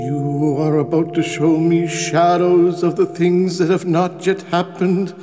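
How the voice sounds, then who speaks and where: Male actor as humbled old miser: slow, hesitant, full of theatrical dread, radio drama with music and hall reverb. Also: a little high, little pitch movement, mellow, lightly acted. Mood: fearful, resolute.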